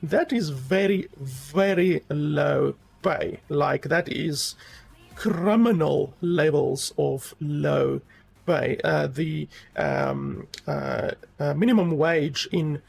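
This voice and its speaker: A man's voice: a little high, extremely slow, vocal fry, husky. A man giving a rambling, casual game review, with many fillers and emphatic repeats.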